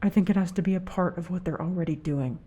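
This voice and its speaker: An actress playing a cautious junior researcher in an audio drama, terse, plain-spoken and understated. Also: female voice, on the low side, fast, mellow, husky. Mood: cautious, matter-of-fact.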